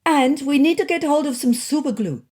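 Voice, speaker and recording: A woman's voice: high-pitched, animated, moderate pace, a little mellow, voice pushed up. A woman voicing a bossy, zealous young female cat activist in a storybook, proclaiming dramatically. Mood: rallying.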